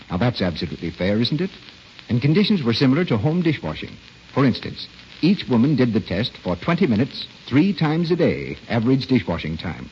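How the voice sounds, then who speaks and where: A man's voice: medium pitch, darkish tone. An American man announcing on 1940s radio with a polished, persuasive, emphatic delivery. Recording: dull, noisy old radio broadcast.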